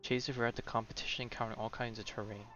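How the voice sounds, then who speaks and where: A man's voice: medium pitch, flat delivery, rapid-fire, sharp tone, lo-fi sound. A man doing casual live gameplay commentary as a streamer, with offhand, wry asides. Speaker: game streamer. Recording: game music behind the voice. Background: game music.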